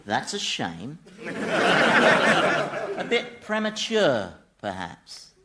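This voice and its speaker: An actor playing a mischievous, wry Satan, chatty and mock-indignant, in radio sitcom style. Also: male voice, sits high, wildly swooping pitch, drawn-out words, heavy background noise, full character voice. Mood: wry, exasperated. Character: Satan, gleeful mischievous male ruler of Hell. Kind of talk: radio sitcom acting before an audience.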